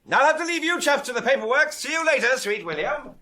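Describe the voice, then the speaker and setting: An actor playing a breezy, cocky British adventurer sleuth in a tongue-in-cheek golden-age detective drama, jaunty and teasing. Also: male voice, very high voice, sing-song, quick pace, music in the background. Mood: cheeky.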